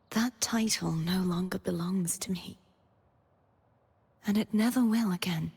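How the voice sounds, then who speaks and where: A woman playing a gentle, earnest, solemn young knightly maiden in a video game, speaking softly in a scripted monologue. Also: mid-range voice, slightly rough, full character voice. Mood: solemn, sorrowful. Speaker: game character voice actor. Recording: long reverb on the voice.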